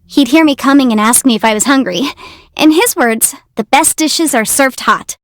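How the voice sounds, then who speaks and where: A woman voicing a young, quick-witted half-immortal legal adviser in a video game, articulate, self-assured and playful. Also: high voice, no room sound, studio quality, close to an everyday voice. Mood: fond, reflective. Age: young adult. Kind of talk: video game character voice lines.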